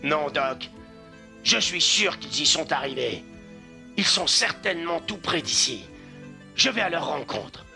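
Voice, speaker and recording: A man voicing a hopeful, determined sled-dog driver in a dubbed animated film, earnest and emotive. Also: fairly high pitch, measured pace, vocal fry, long pauses, full character voice. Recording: light music behind.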